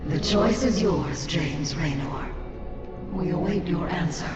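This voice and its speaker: A proud alien warrior leader in a game. Her low, echoing voice is solemn and imperious, set against loud music. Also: slow pace. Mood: stern, solemn. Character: proud, stern female alien warrior commander. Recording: echoey, dull audio under loud music.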